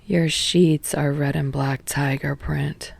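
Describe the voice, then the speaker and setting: A woman voicing a cool young woman in an audiobook story, with a dry, wryly teasing, deadpan manner. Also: low-pitched, slow, creaky, slightly rough, dry recording, pitched below the performer's own voice, exaggerated voice.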